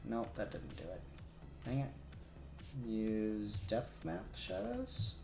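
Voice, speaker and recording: Gravelly man muttering through a livestream. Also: on the low side, sing-song, takes it slowly, music in the background. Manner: muttering to himself, trailing off. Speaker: livestream co-host. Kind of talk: casual livestream chat while working. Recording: echoey room.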